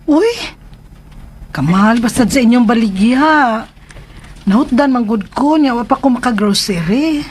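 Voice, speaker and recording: A woman's voice: a little high, expressive, measured pace, a little mellow, a little hiss or hum. An actress playing a feisty, complaining elderly mother in a Filipino radio drama, with a Filipino accent and an indignant, exclamatory delivery. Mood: taken aback.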